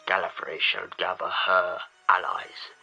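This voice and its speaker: A man plays a resolute alien head of state addressing the people in an audio drama. The deep voice is sharp and forceful, with a slow, portentous and solemn delivery. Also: flat delivery, creaky, breathy edge, exaggerated voice, rough recording. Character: grave, resolute Time Lord president addressing his people. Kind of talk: sci-fi audio drama, formal wartime speech.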